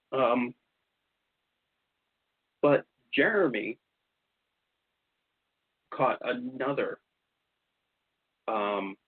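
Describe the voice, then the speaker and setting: A man's voice: lowish voice, husky, no echo. A man hosting a radio show, telling stories casually and animatedly, acting out what people said. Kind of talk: casual storytelling about investigations. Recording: slightly dull, lo-fi radio sound.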